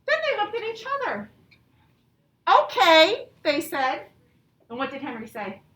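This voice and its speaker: A woman's voice: very high voice, sing-song, a little slow, fairly bright tone. A woman elementary school teacher instructing young children and reading aloud, often checking understanding with questions. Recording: roomy, distant mic with faint noise.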